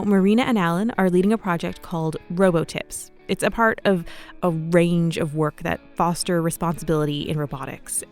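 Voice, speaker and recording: A woman presenting a podcast, reading scripted narration as its host. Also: mid-range voice.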